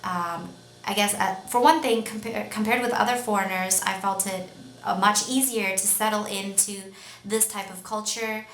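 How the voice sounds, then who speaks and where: A young woman with a Canadian accent chats unscripted to her viewers in a bright, clear-edged voice. She rambles, pausing and searching for words as she goes. The recording has noticeable room echo and a faint layer of noise. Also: mid-range voice, fairly even intonation. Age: young adult. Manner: rambling, hesitant, with many pauses. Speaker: vlogger. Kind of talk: casual, unscripted talk to camera.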